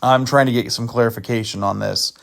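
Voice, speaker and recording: Man, casual host, dry sarcastic asides, rambling delivery, mid-pitched. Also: fairly even intonation, a little fast, vocal fry. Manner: loose, meandering with fillers and restarts. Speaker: channel host exposing a scammer. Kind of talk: casual commentary walking through screenshots.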